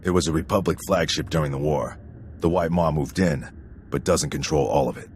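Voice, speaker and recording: An actor playing a stern, no-nonsense army sergeant in a sci-fi video game, with gruff, clipped military delivery. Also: male voice, rumbling low, a little fast, darkish tone, a little hiss or hum. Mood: brisk, matter-of-fact. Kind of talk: dramatic video game acting.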